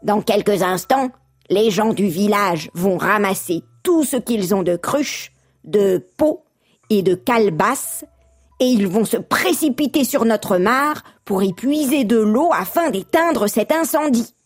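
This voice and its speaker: A woman's voice: medium pitch, lively intonation, slow, faint music behind. A woman voicing a grim female frog in a folk tale, explaining doom in an ominous manner.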